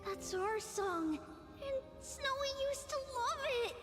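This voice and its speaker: Very high, girlish, gentle young singer. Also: lively intonation, full character voice. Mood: tender, nostalgic. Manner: slow, tender delivery. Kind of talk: video game voice acting. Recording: long reverb, faint music behind.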